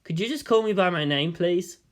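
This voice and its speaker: Narrator as an exasperated, animated teenage boy. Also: mid-range voice, a little fast, close to an everyday voice. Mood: irritated.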